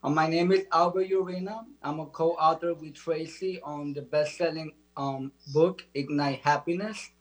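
A man's voice: on the high side, fairly even intonation, normal speed, few pauses, rough recording. A man from the Dominican Republic giving earnest, uplifting motivational talk as a show guest. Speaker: guest, motivational speaker and author.

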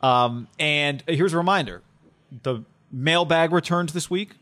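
A man, co-hosting a sports podcast in a laid-back, halting way and reading plugs for the show. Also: fairly high pitch, sharp tone. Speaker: podcast co-host.

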